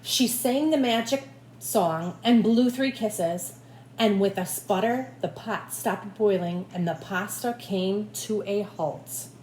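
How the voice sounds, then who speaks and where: A woman's voice: mid-range voice, slow pace. Woman reading a children's story, echoey room. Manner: animated, addresses young listeners directly. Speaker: teacher reading a picture book aloud. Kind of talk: expressive children's read-aloud with asides to listeners. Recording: echoey room with faint background noise.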